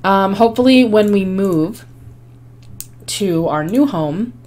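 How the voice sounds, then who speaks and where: A woman hosting a life-sim game video, her voice hoarse and fading, chatting casually with frequent ums and small laughs. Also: mid-range voice, expressive, takes it slowly, dark tone. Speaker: gaming channel host. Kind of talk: casual gameplay commentary.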